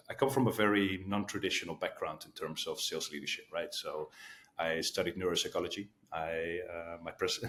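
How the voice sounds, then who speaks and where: A middle-aged Dutch man with a slight American edge to his vowels, speaking in a very low voice. He talks through his answers in a relaxed, conversational way, pausing on small fillers as he thinks. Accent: Dutch with some American. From Netherlands. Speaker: guest, sales leader.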